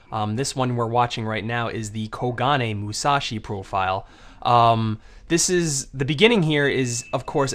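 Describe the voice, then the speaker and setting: A man's voice: medium pitch, a bit bright, slight background noise. A man with a cough from a cold, giving casual spoken commentary on his own videos, rambling and hesitant with frequent ums and restarts. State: getting over a cold with a cough.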